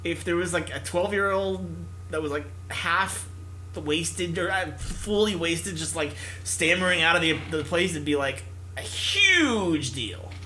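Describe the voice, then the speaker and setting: A man's voice: fairly high pitch, animated, a little slow, sharp tone, a little hiss or hum, low-quality recording. A man reading visual novel text aloud with casual commentary, in a theatrical, jokey manner. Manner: theatrical, jokey, puts on character voices. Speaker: host of a visual novel playthrough.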